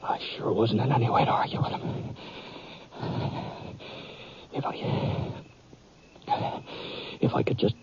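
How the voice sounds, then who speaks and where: An actor playing a wounded, plain-spoken gunfighter who narrates haltingly in an old-time radio western. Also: male voice, mid-range voice. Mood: weak, straining. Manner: halting, folksy drawn-out storytelling. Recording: muffled old radio recording with hiss.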